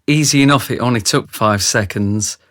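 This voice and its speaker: An actor playing a brutish ex-soldier who narrates a radio drama, speaking straight to the listener. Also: male voice, mid-range voice, moderate pace, fairly bright tone, no echo, studio quality, close to an everyday voice. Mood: grave, confiding. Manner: grave, confiding, addresses the listener directly. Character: remorseful thick brute ex-soldier, male confessional narrator.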